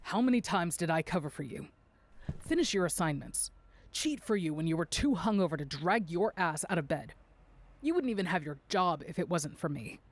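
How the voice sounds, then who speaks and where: A woman's voice: lowish voice, lively intonation, moderate pace. A woman voicing a bitter, spiteful young man, a jilted fiancé, in an audio drama. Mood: resentful.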